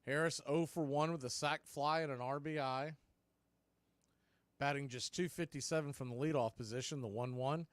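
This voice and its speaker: A man calling live baseball play-by-play on the radio, in a brisk, conversational way that tracks every pitch and count. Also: fairly even intonation, dry recording.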